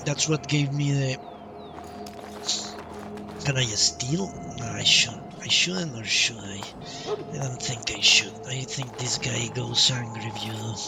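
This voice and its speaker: Guy streaming a game, talks slow n halting, bit far from mic, game music on. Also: medium pitch, creaky. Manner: halting, thinking aloud, repeats words. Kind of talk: casual live playthrough commentary. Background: video game music.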